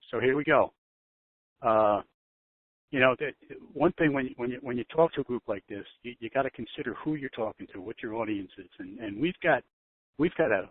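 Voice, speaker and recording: A man with a mid-pitched voice talks over a tinny telephone line to a group on a conference call. He is informal and candid, halting and repeating words, then pressing some with emphasis. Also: breathy edge.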